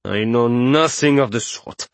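A man voicing a grim older religious zealot in an audiobook, gruff, solemn and defiant. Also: mid-range voice, a little slow, dry recording, lightly acted. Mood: gruff defiance.

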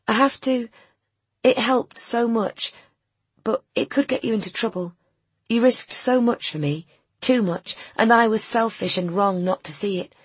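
A woman voicing a gentle, earnest young woman in an audiobook, pleading and full of emotion and pity. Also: a little slow, slightly rough, dry recording. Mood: regretful. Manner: gentle, pleading, higher-pitched than the narration. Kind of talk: audiobook character dialogue.

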